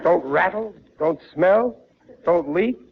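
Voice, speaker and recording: A man's voice: high-pitched, lively intonation, slow pace, gravelly, full character voice. An actor playing a good-natured, blustering middle-aged working man with folksy, ungrammatical speech in a dull vintage 1940s radio sitcom recording. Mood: puzzled.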